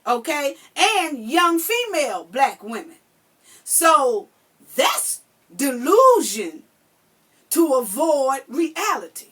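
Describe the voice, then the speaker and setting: A woman's voice: high voice, sing-song, takes it slowly, a bit bright. A woman giving a blunt, emphatic commentary in reply to another video, repeating her key phrases for force and now and then raising her voice. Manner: emphatic and repetitive, hammering phrases home; blunt and profane.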